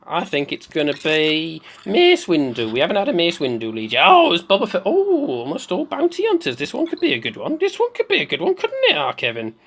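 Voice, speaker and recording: Someone putting on the voice of a slightly mad, excitable woman doing theatrical video game commentary, repeating phrases for effect. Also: mid-range voice, brisk, hardly any pauses, heavily acted. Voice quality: put-on comic voice. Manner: theatrical, repeats phrases, swoops between squeals and murmurs.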